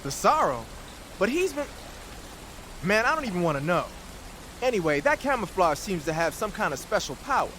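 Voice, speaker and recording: High-voiced, animated support guy. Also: moderate pace, pauses often, slight background noise, exaggerated voice. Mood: bemused. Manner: animated, informal, blunt. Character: exasperated, chatty male radio support man, lively and blunt. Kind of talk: video game voice acting.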